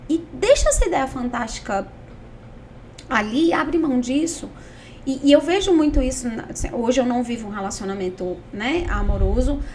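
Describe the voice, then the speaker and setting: A woman hosting a podcast, talking in a blunt, slangy, self-mocking way with dark jokes. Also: high voice, a little hiss or hum. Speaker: podcast host. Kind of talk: casual interview chat.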